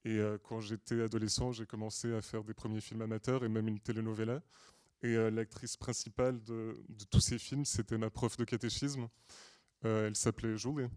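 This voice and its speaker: A man from Portugal presenting a prepared film pitch as a storyteller, with deliberate, earnest phrasing and hesitant pauses. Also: level tone, darkish tone, clean tone, no echo, clean studio sound. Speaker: screenwriter and director pitching a feature film. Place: screening room at a film school.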